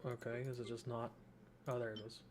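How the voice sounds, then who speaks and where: A man's voice: mid-range voice, little pitch movement, fairly quick, noisy background. A man giving casual gameplay commentary, half talking to himself as he plays. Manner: muttering to himself while playing. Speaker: gameplay streamer. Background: game music and sounds.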